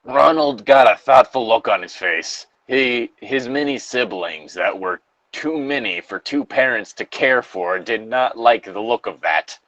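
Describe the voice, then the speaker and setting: A man's voice: medium pitch, expressive, measured pace, hardly any pauses, fairly bright tone, no echo, highs cut off. A man co-hosting in casual banter, reading a story aloud and mocking it with wry, crude jokes. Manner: wry, irreverent, crude joking. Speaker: host of a comedic fan-fiction reading.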